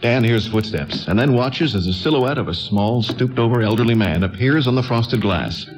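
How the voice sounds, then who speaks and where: An actor narrating a scripted radio play in a measured, atmospheric storytelling manner. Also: male voice, medium pitch, expressive, close to an everyday voice, poor audio.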